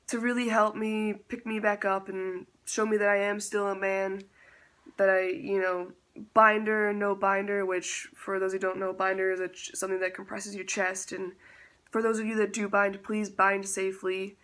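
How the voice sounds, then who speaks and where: A man's voice: sits high, flat delivery, normal speed, pushed and bright. A man chatting casually in a vlog update, self-teasing and laughing often, with a stuffy nose from a slight cold. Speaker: vlogger.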